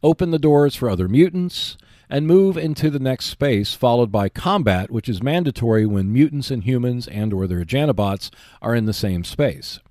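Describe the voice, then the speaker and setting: A man presents a prepared podcast monologue with very animated intonation. His delivery is measured and enunciated like a presenter's, at a medium pitch. The sound is dry, with no room echo. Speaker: podcast host. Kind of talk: prepared podcast monologue about board games.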